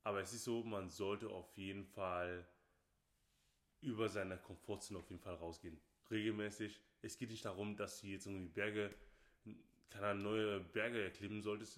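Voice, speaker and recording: Low-voiced man, emphatic, roomy echo. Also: steady tone, moderate pace, fairly bright tone. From Nigeria. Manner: emphatic, repeats phrases, often says "okay, hey". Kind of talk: casual opinionated chat.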